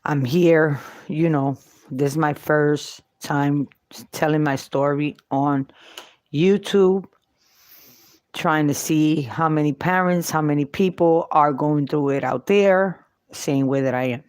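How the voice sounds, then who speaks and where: Middle-aged woman, New York Puerto Rican accent. Unscripted personal storyteller, unhurried and halting, recorded dry. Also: low voice, darkish tone, no room sound. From Puerto Rico and New York. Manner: halting, with long pauses between short phrases. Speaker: vlogger telling her life story.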